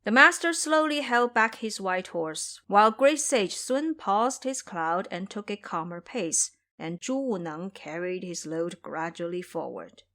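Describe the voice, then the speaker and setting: A woman's voice: mid-range voice, lively intonation, unhurried, hardly any pauses, sharp tone. A woman narrating a scripted fantasy tale as a dramatic storyteller.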